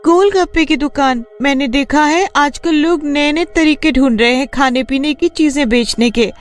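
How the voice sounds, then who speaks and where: A woman's voice: sits high, normal speed, soft background music, close to an everyday voice. A woman playing an earnest, determined college-age daughter in a cartoon story. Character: determined young college girl, grieving daughter. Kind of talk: Hindi cartoon story dubbing.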